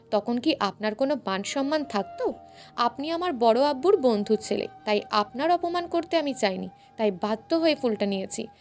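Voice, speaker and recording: A woman's voice: on the high side, natural voice. A woman voicing a fiery, outspoken young female student in a story, indignant and scolding. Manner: sharp, scolding.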